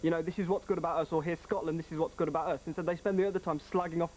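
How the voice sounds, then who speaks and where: A man's voice: a little high, steady tone, racing, a little mellow, a little hiss or hum, rough recording. A man in the studio audience arguing his point and repeating it. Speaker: audience member.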